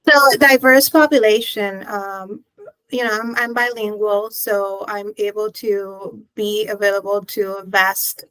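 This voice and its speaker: A woman from Puerto Rico, speaking with a Puerto Rican accent, talks as a guest doctor on a podcast. She speaks in a thoughtful, measured way and often pauses to find her words. Her voice sits at a middle pitch and is recorded dry and close. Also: steady tone, fairly bright tone, clean tone, no room sound, rough recording. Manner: measured, thoughtful, with frequent ums and restarts. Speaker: physician guest. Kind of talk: podcast interview answers.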